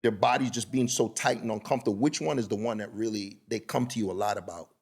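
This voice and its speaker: A middle-aged man with a gravelly, slightly husky voice hosts a podcast. He rambles through stories in a loose, casual way and keeps checking in with "you know what I mean.". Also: on the low side, fast, a little mellow. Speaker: podcast host. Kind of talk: casual conversational interview.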